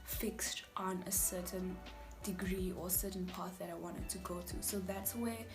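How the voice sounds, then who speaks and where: A South African woman in her twenties, a native Afrikaans speaker, telling her student story in a chatty, animated way with breathy asides. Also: lowish voice, a little slow, music in the background. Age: 20s. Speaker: vlogger, university student. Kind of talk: candid personal storytelling vlog.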